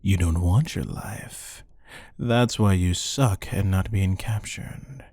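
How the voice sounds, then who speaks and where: A man playing a menacing, amused hired killer who taunts a captive in a deadpan, unhurried ASMR roleplay monologue. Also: sits low, dry recording, exaggerated voice. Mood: playful threat.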